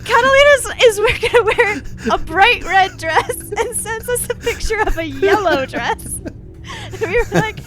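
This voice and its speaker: A woman's voice: very high voice, sing-song, measured pace, a bit bright. A giggly woman playing a tabletop role-playing game, chatting casually and slipping into her character. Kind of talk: casual chat and in-character roleplay. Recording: music behind the voice. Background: background music.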